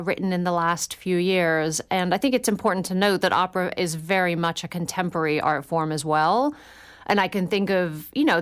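A woman's voice: fairly low pitch, smooth. A woman guest on a radio call-in show, speaking thoughtfully and enthusiastically about opera, with frequent fillers.

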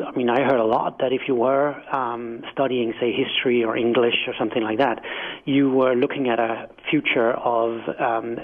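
A man from Denmark with a Danish accent, a guest author answering questions in a radio interview, thoughtful, with frequent restarts and fillers. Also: mid-range voice. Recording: phone-line audio.

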